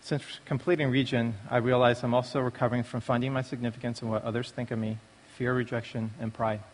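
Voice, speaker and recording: A man's voice: medium pitch, little pitch movement, fast talker, slight background noise. A man gives a prepared personal testimony at a church recovery meeting, speaking in a large, echoing hall. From born in Taiwan, raised in the United States from age five. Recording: long reverberant hall echo.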